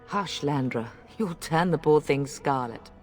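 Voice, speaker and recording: Low-voiced, poised noble mother. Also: animated, unhurried. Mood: gently chiding. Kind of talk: scripted game voice acting. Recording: music under the voice.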